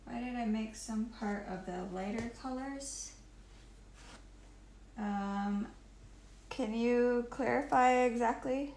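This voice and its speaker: A woman with a croaky voice casually teaching painting on a livestream, thinking aloud in short phrases as she works. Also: a little high, slow, long pauses, a little mellow. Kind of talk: casual live painting tutorial. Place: indoors at home, live stream while painting. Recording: roomy live stream with faint noise and clicks.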